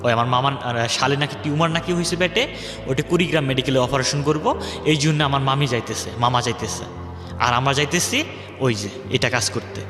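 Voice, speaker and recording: A man's voice: medium pitch, fairly quick. Man, heavily echoing voice. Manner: rambling, reenacts others' words in his telling. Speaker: guest telling his life story. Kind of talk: personal storytelling on a radio show. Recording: echoey hall-like reverb, music underneath. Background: background music.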